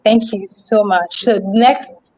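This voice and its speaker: A woman's voice: medium pitch, fairly even intonation, slow pace, a little mellow. A woman hosting a live online event in a chatty, appreciative way. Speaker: host. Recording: dull, lo-fi online audio.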